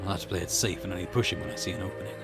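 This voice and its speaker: A man playing a calm, politely persistent police detective in a visual novel, measured and courteous as he questions. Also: sits low, vocal fry, over music. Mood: measured, wary. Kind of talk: acted visual novel dialogue.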